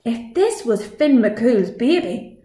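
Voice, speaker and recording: Female storytime reader, fairly high voice, expressive delivery for children, noticeable room echo. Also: slow. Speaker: librarian reading a picture book. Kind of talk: children's storytime read-aloud. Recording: echoey room.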